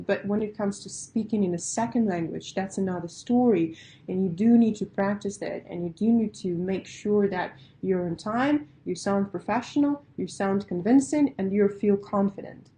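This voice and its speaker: Woman, Russian native, pitch coach; mellow tone, emphatic and direct. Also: normal speed, low-quality recording. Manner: emphatic, direct, laughs lightly, stacks repeated phrases. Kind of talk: coaching talk to startups.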